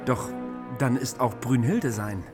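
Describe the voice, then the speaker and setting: An actor playing an insecure, easily led king in a fantasy radio drama, husky, affable and self-doubting. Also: mid-range voice, unhurried, music in the background, lightly acted. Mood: doubtful curiosity.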